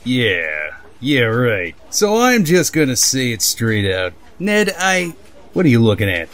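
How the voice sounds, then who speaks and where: A man voicing a gruff, exasperated newspaper boss in a comic-book dub, speaking bluntly. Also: medium pitch, huge pitch swings, measured pace, a bit bright, full character voice.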